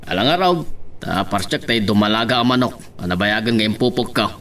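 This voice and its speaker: A man playing a humble, affectionate elderly village father in a radio drama, with a drawn-out rustic way of talking. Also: on the low side, fairly bright tone, natural voice. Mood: affectionate, excited.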